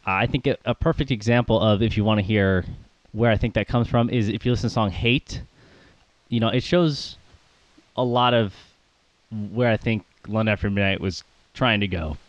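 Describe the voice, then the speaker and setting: A man's voice: fairly low pitch, moderate pace, dry recording, muffled recording. A man co-hosting a casual podcast about music, rambling with plenty of fillers and little laughs. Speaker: podcast co-host.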